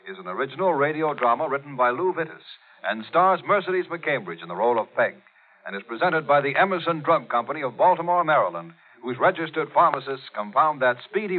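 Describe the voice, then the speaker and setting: A man announcing in scripted 1940s radio style, reading program credits and a sponsor message. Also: a little high. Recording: muffled old radio recording, organ music.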